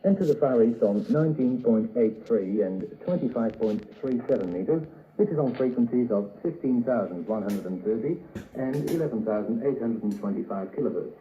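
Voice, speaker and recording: Guy doing a formal shortwave station announcement, measured, tinny old radio sound. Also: mid-range voice, animated. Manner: formal, measured reading of frequencies. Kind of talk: formal scripted broadcast announcement.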